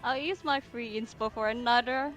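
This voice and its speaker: A woman's voice: fairly high pitch, normal speed, fairly bright tone, faint music behind. A woman chatting casually as a player in a tabletop role-playing game.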